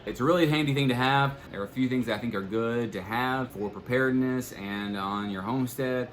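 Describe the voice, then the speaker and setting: A man giving a casual, unscripted product demonstration as a video host, halting and thinking aloud as he goes. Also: mid-range voice. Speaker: product reviewer and channel host.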